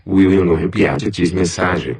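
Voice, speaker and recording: A man's voice: sits low, moderate pace, a little mellow, breathy edge. A man playing a solemn emergency announcer reading a scripted warning.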